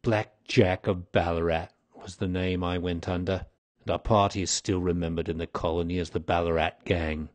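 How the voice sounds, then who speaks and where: A man's voice: sits low, level tone, moderate pace, a little mellow, dry recording, pitched below the performer's own voice, natural voice. A man voicing a weary, grave old man confessing his past in an audiobook story. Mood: grim reminiscence. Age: older. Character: weary, dying old man, confessed former highway robber.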